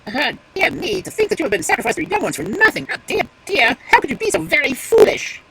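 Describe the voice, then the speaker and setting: A woman voicing an exasperated male crow in a storybook reading, with a loud and scolding manner. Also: high voice, big pitch swings, very fast, very noisy, pitched above the performer's own voice.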